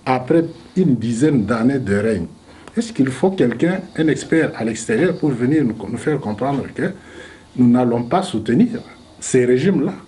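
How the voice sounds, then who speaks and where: A man debating as a historian, measured and reasoning aloud with rhetorical questions. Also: medium pitch, expressive, dark tone, slight background noise. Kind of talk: debate argument.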